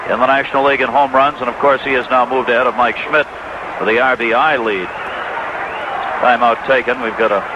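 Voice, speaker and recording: A man doing live baseball play-by-play in clipped descriptive phrases, in the style of 1980s radio sports commentary. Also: medium pitch. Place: stadium broadcast booth. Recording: dull, noisy old AM radio broadcast.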